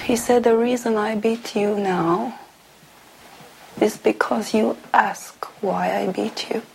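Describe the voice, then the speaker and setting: Haunted, halting young prisoner, creaky voice. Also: female voice, medium pitch, steady tone, a little mellow, a little hiss or hum, rough recording. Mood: resigned, fearful. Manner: halting, repeating herself, dropping to whispers. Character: haunted, resigned young woman prisoner recounting killings. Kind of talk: film drama dialogue.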